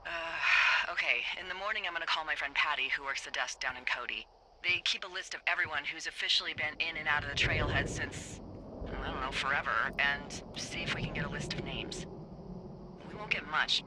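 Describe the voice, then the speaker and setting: An actress playing a wry, teasing fire lookout supervisor in a video game, talking casually and dryly over a walkie-talkie. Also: female voice, low voice, a little fast, noisy background. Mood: concerned. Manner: dry, playful, conversational. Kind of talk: naturalistic video game voice acting, casual banter.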